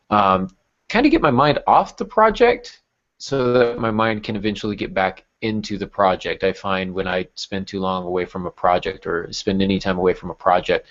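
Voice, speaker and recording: A man hosting a podcast, chatting casually and explaining things in a conversational way. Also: low voice. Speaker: podcast host. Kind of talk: casual podcast chat about writing. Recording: dull, lo-fi podcast audio.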